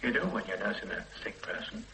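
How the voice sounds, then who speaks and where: An actor playing a weary, regretful middle-aged man under police questioning in a radio play, speaking in a halting, hesitant way. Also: on the low side, big pitch swings, slight background noise, poor audio. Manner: halting, hesitant confession with quiet weary asides. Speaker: murder suspect under questioning. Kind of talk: 1970s-80s radio play acting.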